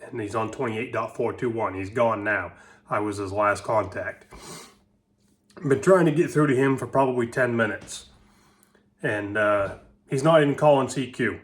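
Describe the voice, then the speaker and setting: A man's voice: medium pitch, normal speed. A man hosting a ham radio vlog, explaining contacts in a folksy, rambling way full of "you know" and "uh". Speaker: ham radio hobbyist and channel host.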